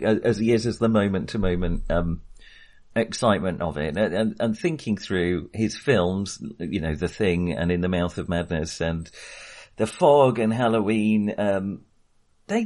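A man's voice: lowish voice, a little mellow. A middle-aged man casually discussing film as a podcast co-host, hesitant and thoughtful, circling back on his phrases.

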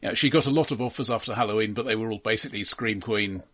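A husky-voiced man co-hosting a casual film talk, explaining things in a halting, considered, analytical way. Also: on the low side, fairly bright tone. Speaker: film podcast co-host.

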